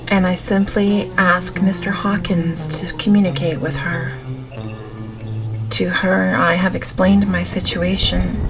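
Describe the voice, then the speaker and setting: A woman reading a gothic novel as an audiobook in a gentle, measured manner. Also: on the low side, rough recording. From Canada. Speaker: audiobook narrator. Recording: music behind the voice. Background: music.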